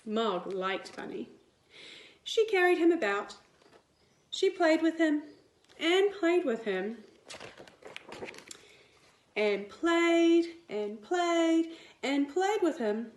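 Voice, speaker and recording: A woman, a teacher reading a picture book aloud to young children in an expressive, deliberate way. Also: high-pitched. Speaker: primary school teacher. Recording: roomy indoor echo.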